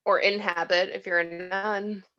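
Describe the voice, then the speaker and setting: A woman's voice: medium pitch, little pitch movement, measured pace, pushed and bright, highs cut off, a little hiss or hum. A woman acting a tipsy, disillusioned woman who rambles and giggles in a read-through of a film script. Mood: tipsy, playful. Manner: rambling, slightly slurred, giggly.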